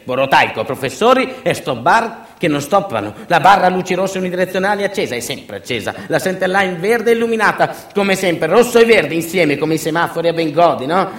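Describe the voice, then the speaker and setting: A man delivering a dramatic theatrical monologue, intense and rhythmic, with repetitions and deliberate pauses. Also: a little high, a bit bright. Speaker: stage actor and narrator. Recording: roomy, echoing sound.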